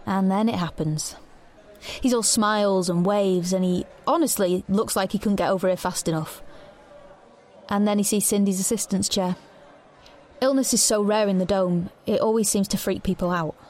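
An actress playing a defiant, protective teenage sister, blunt and sardonic, in an audio drama. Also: female voice, mid-range voice, steady tone, normal speed, a little mellow, lightly acted. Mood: wry, irritated. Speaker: lead actor and narrator. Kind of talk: audio drama narration and dialogue. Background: background music.

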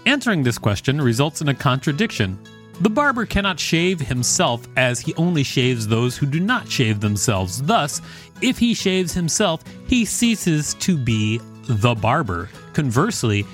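A man's voice: mid-range voice, sing-song, measured pace, fairly bright tone, faint music behind. A man hosting a podcast, reading an encyclopedia article aloud with joking, informal commentary. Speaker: podcast host.

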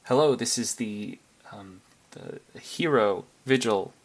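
An actor playing a nervous, earnest superhero investigator in an audio drama, speaking in a halting, analytical way. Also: male voice, on the low side. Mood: nervous, insistent. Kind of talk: naturalistic audio drama monologue and phone calls.

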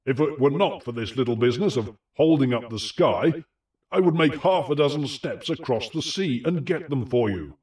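A man voicing an immense, weary giant in a storybook, boastful and hearty, with a grandly deliberate delivery. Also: expressive, a little mellow, recorded from a distance, lightly acted. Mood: coaxing, measured. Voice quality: big, deep, rough voice as scripted.

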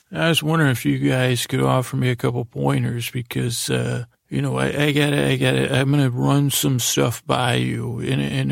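A man with a heavily creaky, gravelly voice tells a rambling, improvised bedtime story. His pitch barely moves as he meanders, doubles back and trails off. The recording is very dry and close. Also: no room sound. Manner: meandering, halting, repeats and trails off. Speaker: podcast host.

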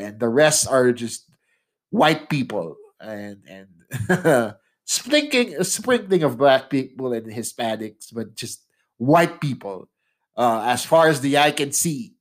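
A man from the Philippines hosting a podcast, chatty and self-mocking as he introduces his guests. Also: mid-range voice. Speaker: podcast host.

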